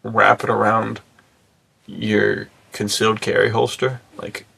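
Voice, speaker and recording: Heavily creaky, husky male podcast co-host. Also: fairly low pitch, flat delivery, takes it slowly, bright, forceful tone. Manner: jokey, slangy, often chuckling. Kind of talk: casual podcast banter.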